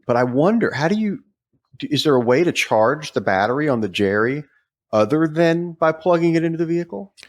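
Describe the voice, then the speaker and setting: A man co-hosting a podcast, casually discussing a product in a halting way, as if working it out aloud. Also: fairly high pitch, lively intonation. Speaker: podcast co-host.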